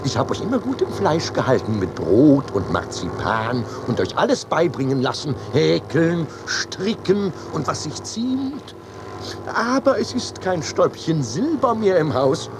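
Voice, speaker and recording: A man's voice: on the high side, very animated, a little slow, background noise. A man playing a stubborn, grandiose winegrower and father on a lofty mission, declaiming pompously in a radio comedy. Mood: exalted, blustering. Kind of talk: theatrical radio play of ancient comedy.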